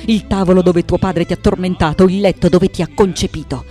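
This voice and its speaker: A woman's voice: fairly low pitch, steady tone, fairly quick, faint music behind, lightly acted. Actress as intense, wounded elderly woman artist. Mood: agitated, intense. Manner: dramatic, swinging from hushed to anguished. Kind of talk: theatrical radio monologue.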